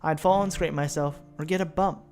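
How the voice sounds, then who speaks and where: A man voicing a sweet, clumsy teenage girl from a visual novel game, speaking softly and earnestly. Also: on the high side, fairly even intonation, brisk, soft background music, close to an everyday voice. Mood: wistful.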